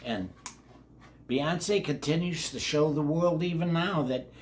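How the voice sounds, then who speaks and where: A man's voice: a little high, slow pace. An older man reading a children's book aloud in a folksy way, with chatty asides to his young listeners. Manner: folksy, addresses children directly, repeats words. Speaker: children's read-along host.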